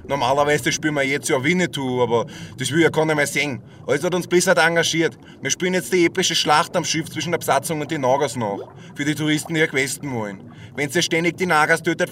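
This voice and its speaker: A man's voice: on the high side, a bit bright, close to an everyday voice. A man playing an exasperated, complaining theatre troupe director in a comedic audio drama. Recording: distant mic, faint background noise.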